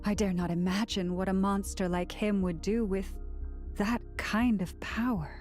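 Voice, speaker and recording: A woman voicing a solemn, gentle female character in a video game, speaking earnestly and deliberately. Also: medium pitch, steady tone, faint music behind, natural voice.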